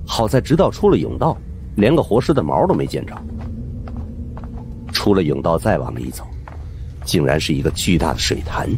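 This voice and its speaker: Very deep-voiced man narrating a suspense tale. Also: normal speed, long pauses, a little hiss or hum. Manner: storytelling, expressive. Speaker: audiobook narrator. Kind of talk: dramatic scripted narration of a suspense horror novel.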